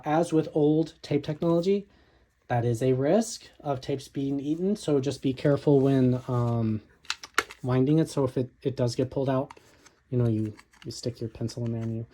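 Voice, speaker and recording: A tech video host casually walking through a product tutorial in a hesitant, halting, informal way. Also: mid-range voice, dark tone.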